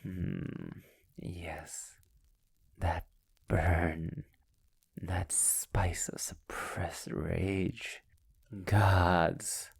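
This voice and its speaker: A man playing a sadistic, possessive immortal vampire master in an intimate ASMR roleplay, taunting theatrically in a breathy, gravelly voice that often nears a whisper. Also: low voice, extremely slow, long pauses, full character voice. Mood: hungry, possessive.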